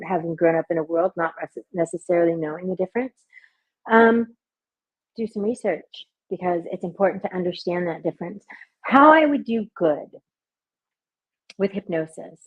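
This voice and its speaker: A woman working as a hypnotist, talking in an interview with a soft, soothing voice. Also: lowish voice, expressive, brisk, breathy edge, lo-fi sound. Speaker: hypnotist and party performer. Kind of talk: conversational interview answers.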